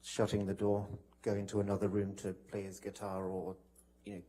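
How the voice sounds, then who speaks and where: A man giving testimony as a witness in a courtroom, hesitant and measured, with halting answers. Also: on the low side, monotone, dark tone. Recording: noisy courtroom broadcast feed.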